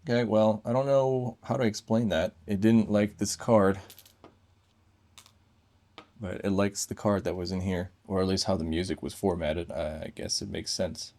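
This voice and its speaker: A man's voice: low-pitched, steady tone, moderate pace, dark tone. A man giving a casual, unscripted hands-on gadget review, thinking aloud in short fragments.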